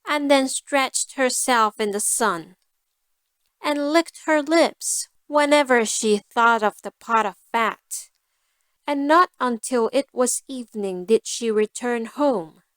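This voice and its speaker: A woman storyteller giving a scripted fairy tale narration for learners, deliberate and carefully phrased. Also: fairly high pitch, a bit bright, no room sound.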